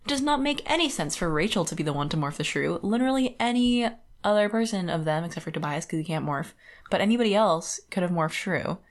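Woman, low pitch, podcast co-host, informal conversational delivery, filler-heavy book talk. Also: lively intonation, fast talker. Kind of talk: casual book discussion chat.

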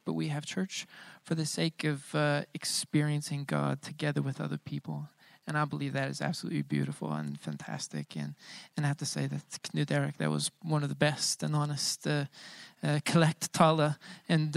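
A pastor in his thirties preaches in an easygoing, joking way, often pausing on "uh" as he finds his words. His voice has a gravelly edge over a smooth, resonant tone. The recording is close and dry. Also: fairly high pitch, dry recording. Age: 30s. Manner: casual and joking, many filler sounds. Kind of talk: informal bilingual sermon.

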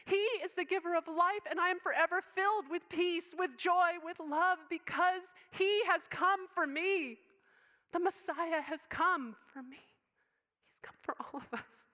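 A devoted, deeply moved young woman from scripture, performed by a woman. Her voice sits high and tender, and she falters and falls into hushed whispers as she speaks. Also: heavily acted. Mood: tearful, devoted. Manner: emotional, halting, drops to soft whispers. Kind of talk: dramatic monologue in character.

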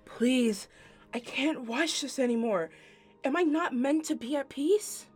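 A woman's voice: high-pitched, a little slow, husky, soft background music. A woman acting in an audio drama as the ghost of a dead mother, protective of her daughter. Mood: desperate.

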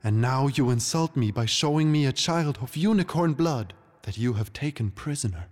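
A man's voice: mid-range voice, expressive, moderate pace, a little mellow, voice pushed up, lightly acted. A man voicing a proud, wrathful unicorn queen with a haughty, dramatic, regal delivery in a fantasy story. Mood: furious.

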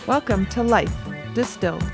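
A woman's voice: fairly high pitch, normal speed, vocal fry. Female announcer over music. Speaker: podcast intro announcer. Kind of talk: scripted promotional narration. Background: prominent music.

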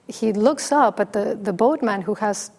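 Female dharma teacher, soft and pausing, a bit of a creaky edge. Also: mid-range voice. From Mexico. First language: Spanish. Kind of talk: dharma talk with storytelling.

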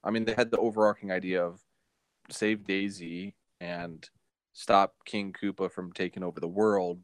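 A man co-hosting a podcast in casual film talk, halting and often repeating his phrases. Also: low-pitched, no room sound. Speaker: podcast co-host.

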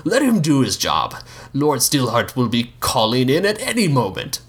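A man's voice: mid-range voice, wildly swooping pitch, normal speed, voice pushed up. A man voicing a formal, reassuring male official addressing a banquet.

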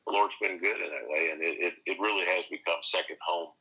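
A low-pitched man with a forceful, slightly husky voice, speaking as a pastor in an interview. He talks earnestly and keeps restarting his sentences, then leans hard into his key points. The delivery sounds like an unscripted conversation. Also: fast talker, poor audio. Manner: earnest, many restarts, animated emphasis. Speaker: pastor and seminary teacher.

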